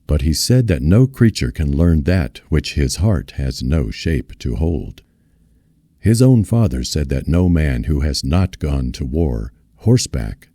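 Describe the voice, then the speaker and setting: A man reading literary fiction aloud as a narrator, in a measured, solemn manner. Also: rumbling low, mellow, husky, dry recording, clean studio sound. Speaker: reader of a novel.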